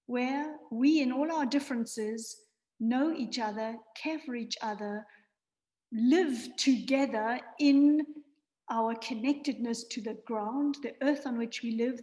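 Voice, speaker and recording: A woman's voice: on the high side, a little mellow. A woman hosting a webinar forum, giving an earnest, idealistic opening speech with rhythmic, repeated phrasing over a video call. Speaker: forum host.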